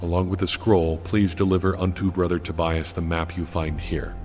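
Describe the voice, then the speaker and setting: A man's voice: low voice, little pitch movement, normal speed, darkish tone, lightly acted. A man playing a guilt-ridden, devout soul confessing in a final letter, speaking in formal, archaic, solemn phrases. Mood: solemn remorse. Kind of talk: solemn audiodrama letter reading. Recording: long hall reverb over music.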